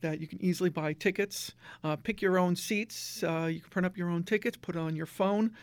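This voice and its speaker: Gravelly man rambling about his theater. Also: high voice, fairly even intonation, brisk, no echo. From New Jersey. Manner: rambling with many fillers and restarts. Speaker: community theater volunteer guest. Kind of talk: casual interview talk.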